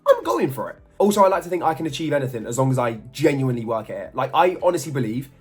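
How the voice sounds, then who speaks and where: A man's voice: medium pitch, big pitch swings, very fast, slightly rough, soft background music. A man, chatting through a casual Q&A vlog with jokey, self-mocking asides. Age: 20s. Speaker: model and YouTuber.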